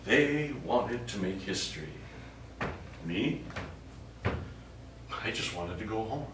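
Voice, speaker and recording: A man's voice: fairly low pitch, animated, takes it slowly. A man on stage playing a wry, wistful astronaut who is secretly an alien with a scheme. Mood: wistful, resolved. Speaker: stage actor in a one-act play. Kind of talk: live stage drama monologue. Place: small indoor studio theatre stage. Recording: echoey small theatre, distant mic, faint noise.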